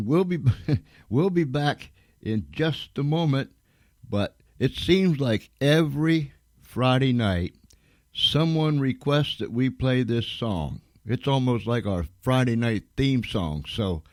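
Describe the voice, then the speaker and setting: A man hosting a radio show, chatting casually between programmes in a rambling, halting way. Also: medium pitch, lively intonation, no room sound.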